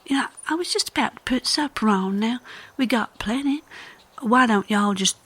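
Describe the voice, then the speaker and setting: A woman's voice: on the high side, animated, normal speed, a bit bright, slightly rough. A woman playing a flirtatious rural Southern wife and mother in a comedic western audio drama, coy and folksy, with a Southern US accent. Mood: grateful, hospitable.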